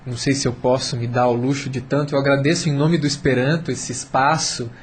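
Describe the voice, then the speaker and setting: A man's voice: mid-range voice, steady tone, fairly quick, husky. A Brazilian man explaining earnestly and conversationally as a guest on a radio panel, sometimes posing rhetorical questions.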